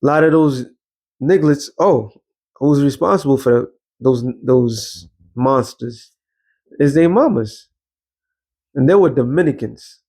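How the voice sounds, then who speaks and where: A man's voice: medium pitch, animated, slow pace, long pauses, a little mellow, slightly rough. A man, giving blunt, profane commentary in a street-preacher cadence and reading out scripture.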